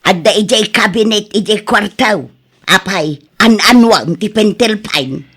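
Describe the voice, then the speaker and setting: An actress playing a soft-hearted older wife in a radio drama, gently reproving, with an Ilocano accent. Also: female voice, medium pitch, a little slow, husky. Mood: placating. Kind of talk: Filipino radio drama acting.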